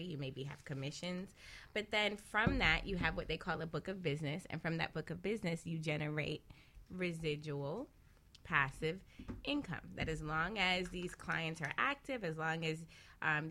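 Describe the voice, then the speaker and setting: Low, bright, forceful woman explaining on radio. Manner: explains through rhetorical questions and examples. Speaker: radio show co-host. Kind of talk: explanatory talk radio discussion.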